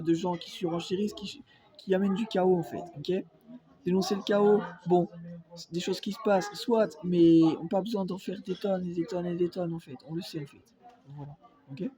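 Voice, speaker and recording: A young man with a cold, rambling through an opinion vlog full of fillers and insistent repeats. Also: on the high side. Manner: hesitant, many fillers, insistent. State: has a cold. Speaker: vlogger.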